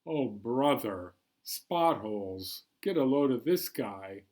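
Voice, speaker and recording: A man reading a picture book aloud as a chatty, bemused New York City taxi driver, colloquial and animated. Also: mid-range voice, slow pace, voice pushed down. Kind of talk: first-person picture book read-aloud.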